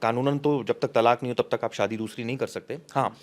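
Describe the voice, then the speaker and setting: Male reporter, mid-pitched, halting explanatory delivery in live discussion, some English legal terms mixed in. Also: fairly even intonation. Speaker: news reporter giving case details. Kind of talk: unscripted news discussion, explanatory.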